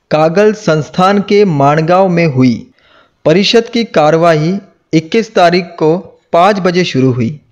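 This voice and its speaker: A man reading a scripted historical narration in a formal, measured manner. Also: a little high, a little mellow, lo-fi sound. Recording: music behind the voice. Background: background music.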